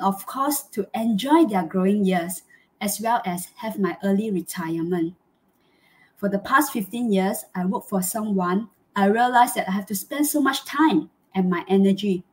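A Malaysian woman hosts an online live chat. Her mid-pitched voice comes over a video call from a room at home. She talks in an effusive, chatty way to her audience and guest. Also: low-quality recording. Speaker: host. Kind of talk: live chat interview hosting. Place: small home room, indoors.

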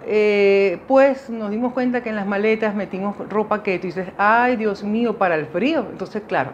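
A woman's voice: mid-range voice, slight background noise. Venezuelan woman, dull muffled recording. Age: middle-aged. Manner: conversational, digressive, addresses viewers directly. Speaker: video host sharing migration experience. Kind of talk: casual, reflective monologue to camera. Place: small indoor setup with dark backdrop.

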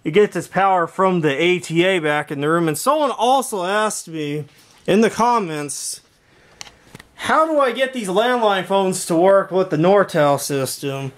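Man, fairly high pitch, casual vlog walkthrough, rambling, thinking aloud, indoor workshop. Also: expressive, a little slow. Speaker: video creator showing a home intercom and speaker system.

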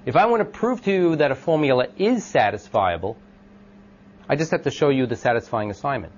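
A man with a loud voice giving an informal classroom lecture, thinking aloud with many ums and restarts and asking the class questions. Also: medium pitch, lively intonation, fairly quick, a little hiss or hum. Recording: dull lo-fi lecture recording.

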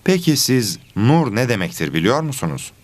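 A man playing a patient religion teacher in an educational children's cartoon, explaining things clearly and didactically. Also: medium pitch, sing-song, normal speed. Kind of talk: scripted educational cartoon dialogue.

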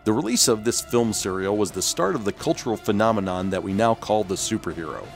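A man's voice: on the low side, animated, fairly quick, gravelly, soft background music. A man hosting and narrating a scripted documentary-style video.

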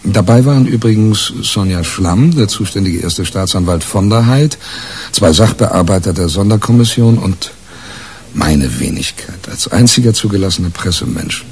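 Deep, gravelly, wry male reporter. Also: expressive, moderate pace, darkish tone. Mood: wry, dry. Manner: wry, colloquial, boastful. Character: cynical, self-assured male press reporter telling his story. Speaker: narrator. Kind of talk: radio crime drama narration.

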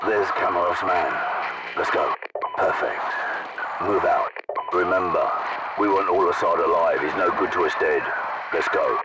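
An actor playing a gruff, commanding British special forces captain in a video game, giving terse, urgent combat orders. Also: male voice, deep voice, fairly even intonation, measured pace, long pauses, distant mic, very noisy. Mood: calm, firm. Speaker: military squad leader in a video game. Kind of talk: video game battle chatter.

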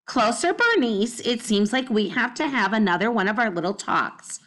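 A woman voicing a stern, fussy older neighbor lady with a high voice and a prim, scolding manner, read from a children's storybook. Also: expressive, normal speed, a bit bright.

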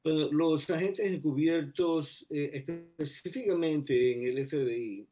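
A man in his sixties from Ecuador, a retired federal agent speaking as a guest over a video call, measured and full of pauses. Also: a little high, warm tone. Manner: measured, many pauses and "eh" fillers. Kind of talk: remote video interview. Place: indoors before a plain backdrop.

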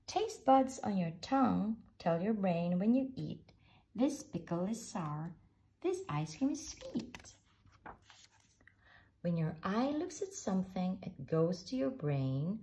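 A woman reads a rhyming children's book aloud at an unhurried pace, her voice dipping and rising expressively. Her tone is dark and mellow with a gravelly edge of fry underneath. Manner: slow, expressive read-aloud with lively pitch. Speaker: storybook reader.

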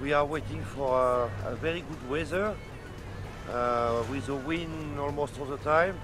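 A man's voice: fairly high pitch, measured pace, over music. An older man, a yacht captain, chatting casually on deck in front of an action camera. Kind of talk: casual chat. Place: outdoors on the deck of a sailing yacht at sea. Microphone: action camera from a short distance.

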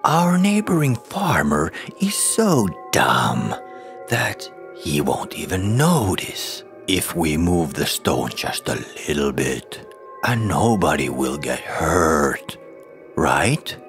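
A man's voice: extremely low pitch, creaky, fairly bright tone, exaggerated voice. A man voicing a greedy, scheming farmer in a raised, whiny, indignant voice. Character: greedy, scheming middle-aged male farmer.

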